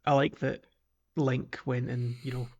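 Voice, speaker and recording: A man's voice: medium pitch, level tone, a little slow, creaky, darkish tone. A man co-hosting a podcast, chatting casually about a video game in a hesitant, rambling way. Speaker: podcast co-host.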